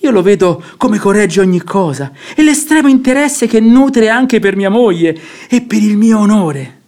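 High-voiced, gushing, credulous nobleman. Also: darkish tone. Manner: fervent, gushing, theatrical. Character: credulous wealthy nobleman, devoted admirer of a pious guest. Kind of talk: classical stage comedy radio acting.

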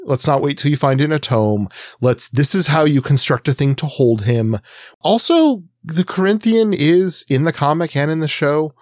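A man's voice: on the high side, moderate pace, darkish tone, no room sound, clean studio sound. A man co-hosting a podcast, chatting casually and giving opinionated critique in an informal way. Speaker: podcast co-host.

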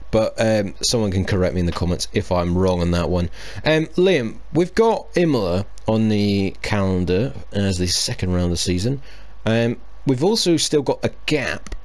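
A man hosts a casual podcast chat. He rambles in a relaxed way, full of ums and restarts. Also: fairly low pitch. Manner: chatty, hesitant, with many fillers and restarts. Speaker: podcast host.

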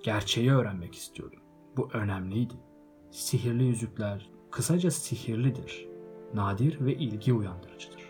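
A man voicing an old male wizard who speaks in a firm, measured, admonishing way. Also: lowish voice, darkish tone, faint music behind, close to an everyday voice.

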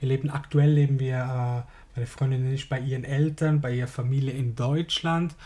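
A man with a Swiss German accent hosts his own podcast, his voice hoarse and a little strained. He talks alone in a loose, informal way, as if speaking to one listener. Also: medium pitch, steady tone, fairly quick, dark tone. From Switzerland. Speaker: podcast host. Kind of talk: casual solo podcast monologue.

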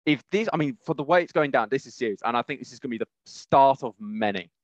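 A man co-hosting a podcast, casually discussing news in a halting, rambling way and often rephrasing mid-sentence. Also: medium pitch, lively intonation, no echo, dull audio. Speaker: podcast co-host.

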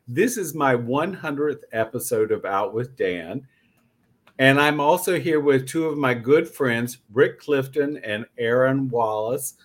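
A man's voice: medium pitch, lively intonation, measured pace. A man hosting a casual interview podcast, talking in a campy, familiar way with emphatic repeats. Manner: campy, uses "honey", repeats himself for emphasis. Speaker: podcast host.

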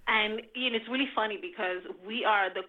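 A middle-aged woman from the Dominican Republic, chatting as a guest in an animated, enthusiastic way. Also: medium pitch, brisk. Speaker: podcast guest. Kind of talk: casual interview chat. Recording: tinny phone line.

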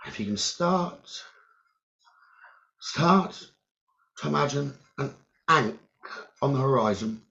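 Man, mid-pitched gravelly voice, guided meditation narration, halting short phrases, muffled lo-fi audio. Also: animated, sharp tone. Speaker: guided meditation leader, psychic medium. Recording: dull, lo-fi sound.

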